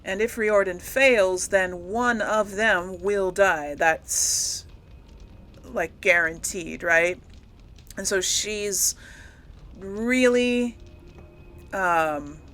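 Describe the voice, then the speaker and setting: Slow-paced, musing woman gamer. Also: on the low side, a bit bright. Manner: hesitant, musing, amused. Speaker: gamer hosting a role-play let's play. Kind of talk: casual game commentary, role-playing dialogue aloud.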